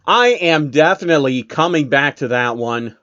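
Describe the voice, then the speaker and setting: A young man reads a fanfic aloud, casual and profane, stopping to correct his own stumbles. His voice sits a little high, and the home recording sounds dull and lo-fi. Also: expressive, moderate pace, bright, forceful tone. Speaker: narrator reading fan fiction. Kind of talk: comedic story reading with asides. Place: home room.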